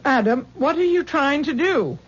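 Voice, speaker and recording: Protective older mother-in-law, brisk and sensible, period radio sound. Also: sits high, expressive. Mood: firm. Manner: brisk, no-nonsense. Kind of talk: 1970s radio acting. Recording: dull old radio broadcast, faint hiss.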